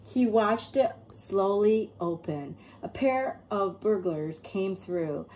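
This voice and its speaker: A woman reading a picture book aloud as an expressive storyteller, acting out the tale and explaining as she goes. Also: mid-range voice, low-quality recording. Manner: acts out characters and pauses to explain words. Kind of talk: expressive children's read-aloud.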